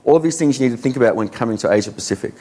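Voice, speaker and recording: An Australian man speaking at a conference, talking through his points in a loose, conversational way. His voice sits at an ordinary middle pitch, and he often puts questions to the audience. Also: animated, very fast, warm tone. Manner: conversational, many fillers, rhetorical questions.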